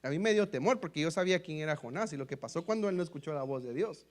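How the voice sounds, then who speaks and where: Mexican man, pastor, high-pitched; lively sermon storytelling, Mexican Spanish accent, keeps saying "brothers". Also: quick pace. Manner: storytelling, repeatedly addressing listeners as brothers; lively, acts out quoted dialogue. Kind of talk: sermon testimony.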